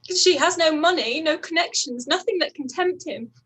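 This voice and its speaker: High-voiced, distressed young gentlewoman played by an actress. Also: normal speed. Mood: distressed, halting. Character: distressed young gentlewoman, proud, self-reproaching. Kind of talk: live-read radio play acting of a period drama.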